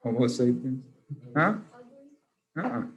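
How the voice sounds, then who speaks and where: A man's voice: medium pitch, big pitch swings, slow pace, muffled recording. A man teaching a class over a video call, joking and teasing his students, with many restarts.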